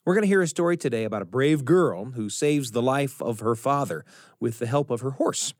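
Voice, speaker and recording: A man's voice: medium pitch, animated, brisk, no room sound, clean studio sound. A man hosting a radio story hour, reading a scripted introduction and stretching out key words for emphasis. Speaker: radio show host.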